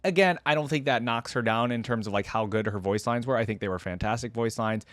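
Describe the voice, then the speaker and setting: A man's voice: mid-range voice, quick pace, fairly bright tone, no echo. A young man giving casual reaction commentary as a video host, thinking aloud in rambling, loose asides.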